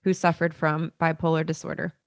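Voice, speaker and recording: A woman hosting an interview with a lowish voice and a dry, close sound. She asks questions warmly and affirms her guest often. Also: flat delivery, brisk, no echo. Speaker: interview host. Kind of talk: conversational interview.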